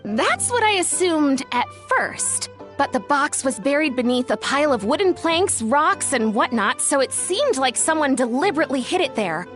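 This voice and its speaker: A high, lively young woman's voice, chatty and a touch flustered, rising and falling expressively as she tells a story. She is friendly and earnest throughout. Faint game music hums underneath. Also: moderate pace, hardly any pauses. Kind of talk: video game dialogue. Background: soft game music.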